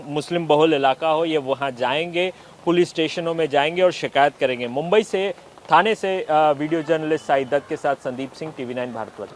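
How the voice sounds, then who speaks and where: A man reporting and interviewing on location for TV news, in hesitant, filler-heavy phrasing. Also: a little high. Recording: outdoor street noise, traffic.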